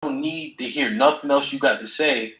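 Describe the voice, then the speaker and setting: A man's voice: medium pitch, fairly quick, a bit bright. A man casually explaining his view in slang during a discussion. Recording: echoey room.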